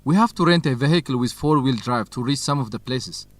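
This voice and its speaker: A man's voice: mid-range voice, fairly quick, no room sound, close to an everyday voice. A man playing a helpful fellow researcher in a radio drama for English learners. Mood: helpful, informative. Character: Khalid, helpful male colleague and fellow researcher.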